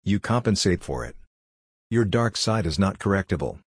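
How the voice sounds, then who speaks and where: A man's voice: sits low, animated, normal speed, breathy edge, no echo, studio quality. An older man from Hungary, a native Hungarian speaker, giving a blunt, lecturing monologue as a workshop leader. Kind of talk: scripted monologue.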